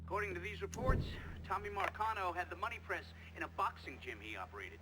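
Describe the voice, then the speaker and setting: An actor playing a formal official who puts pointed questions to a witness in a video game cutscene. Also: male voice, high voice, sing-song, fast, over music, low-quality recording. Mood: formal, pressing.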